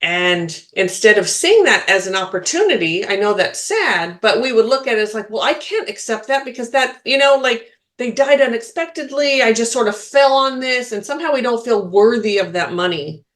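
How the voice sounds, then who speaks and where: A woman's voice: a little high, animated, moderate pace, hardly any pauses, a bit bright. A woman co-hosting a spiritual podcast, chatting casually, laughing often and restarting her sentences. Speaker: podcast co-host, spiritual medium.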